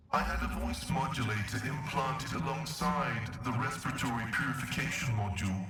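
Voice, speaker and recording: An actor playing a pious cyborg tech-priest in a video game, speaking formally and solemnly through a metallic voice modulator. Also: male voice, mid-range voice. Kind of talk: scripted video game dialogue. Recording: heavily echoing, distant processed game audio.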